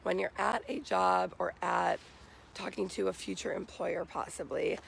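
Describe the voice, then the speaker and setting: A young American man with a raspy, morning-hoarse voice, giving casual advice to viewers as a vlogger. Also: sits high, fairly even intonation, a little slow, fairly bright tone, a little hiss or hum, poor audio. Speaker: vlogger giving job interview tips. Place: outdoors.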